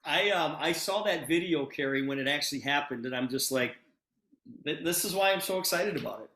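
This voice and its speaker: A man's voice: a little high, brisk, pushed and bright. A man hosting an online meeting in an enthusiastic, informal way, repeating phrases for emphasis on a video call. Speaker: host of an online testimonial meeting. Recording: roomy, echoing video-call sound.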